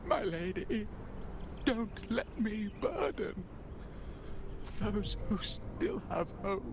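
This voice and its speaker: A man's voice: high-pitched, very animated, drawn-out words, gravelly, background noise, full character voice. An actor playing a corrupted, despairing man begging for death in a dramatic video game scene, formal and pleading. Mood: anguished pleading. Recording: loud game ambience behind. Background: loud ambient game sound.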